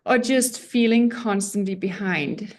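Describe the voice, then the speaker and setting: A woman's voice: medium pitch, measured pace, darkish tone. Danish woman teaching an online parenting course. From Denmark. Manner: earnest, personal, with small restarts and self-deprecating asides.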